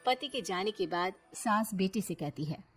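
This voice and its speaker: A woman's voice: medium pitch, lively intonation, normal speed. A woman narrating a family story in a dramatic scripted style. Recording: music under the voice. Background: music.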